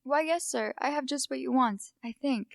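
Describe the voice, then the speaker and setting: High-voiced obliging renting agent played by a young woman. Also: normal speed, creaky, no room sound, studio quality. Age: teenager. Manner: deferential, sales-minded. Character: polite, obliging renting agent showing a flat. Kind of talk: amateur radio play acting.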